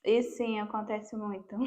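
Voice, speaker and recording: A woman's voice: medium pitch, little pitch movement, takes it slowly, a little mellow. A Brazilian woman talking casually as a podcast guest, sharing personal experience.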